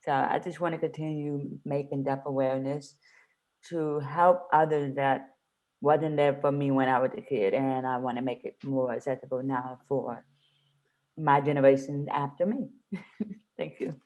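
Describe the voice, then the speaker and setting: A woman's voice: very deep voice, fairly even intonation, normal speed, darkish tone, rough recording. A woman introducing herself as a panelist, speaking emphatically and repeating her phrases for weight. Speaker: panelist, dancer.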